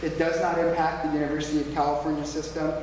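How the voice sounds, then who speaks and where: A man's voice: fairly high pitch, monotone, quick pace, background noise. A man with a big projecting voice making an emphatic debate speech in a small church meeting room. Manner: emphatic, plain-spoken, repeats slogans. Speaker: debate speaker for a taxpayers' group. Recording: echoey small hall, from far off.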